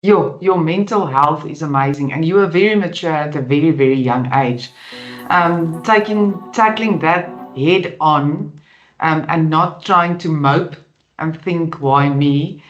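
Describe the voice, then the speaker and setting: An interviewer asking questions in a warm, encouraging manner. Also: medium pitch, a little slow, faint music behind. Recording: echoey, lo-fi room sound.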